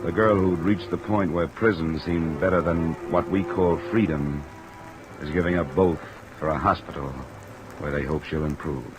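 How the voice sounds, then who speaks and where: An actor playing a world-weary insurance investigator in hard-boiled old radio drama, terse, matter-of-fact and probing. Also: male voice, deep voice, fairly even intonation, normal speed, music in the background, natural voice, rough recording. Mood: weary, dry.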